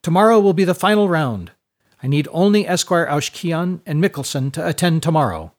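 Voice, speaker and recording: An excitable, self-important male school rector in an audiobook, as a man reads him. The pitch lifts high above a plain speaking voice, and every phrase is proclaimed with emphatic, pompous pride. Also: a little fast, close to an everyday voice. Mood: proud, excited.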